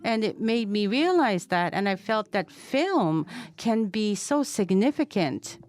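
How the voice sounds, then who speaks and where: A woman interpreting and moderating at a press conference, measured and careful. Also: mid-range voice, very animated, a little mellow.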